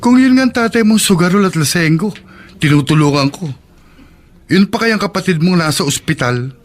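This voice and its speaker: A man's voice: high-pitched, animated, normal speed, a little hiss or hum. An actor playing a wealthy, generous young man in a radio drama, easygoing and teasing. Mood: defensive.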